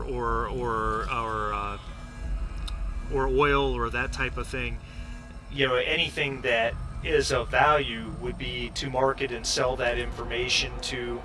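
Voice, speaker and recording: A man playing an earnest, hesitant ship technician in a tabletop game, speaking haltingly and repeating words. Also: mid-range voice, close to an everyday voice. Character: ship technician Leslie, earnest, hesitant, explaining spaceship business. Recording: distant mic, clear background noise.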